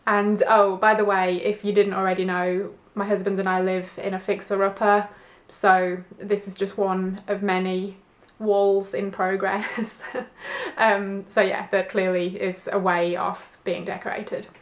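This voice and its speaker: A woman's voice: mid-range voice, level tone, measured pace. A woman chatting informally and a little ramblingly to camera in a book vlog, with an understated manner. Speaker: book vlogger. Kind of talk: casual chat to camera, reading diary and book review. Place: indoors at home.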